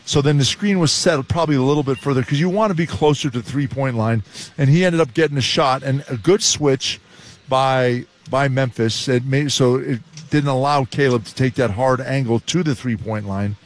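A man's voice: mid-range voice, normal speed. An older man giving rambling postgame basketball analysis and restarting his sentences often.

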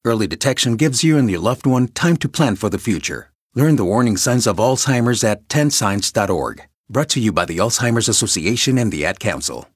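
A man reads a public service spot in a steady, serious way. His voice is lowish and husky, and it sounds dry and close to the mic. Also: dry recording. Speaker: announcer.

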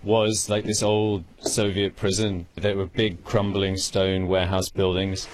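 A man's voice: sits low, level tone, measured pace, very noisy. A man working as a foreign correspondent, telling his field report in a conversational way.

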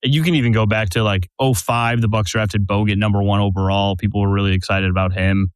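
A man's voice: lowish voice, steady tone, brisk, smooth, no echo. A man hosting a casual sports podcast, talking in a conversational way, full of fillers, and steering the talk with questions. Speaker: podcast host. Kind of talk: casual chat.

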